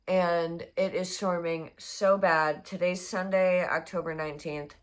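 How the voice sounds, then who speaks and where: A young woman chatting casually in a crochet vlog, her voice hoarse and congested, rambling with many fillers. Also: medium pitch, fairly bright tone. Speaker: crochet vlogger.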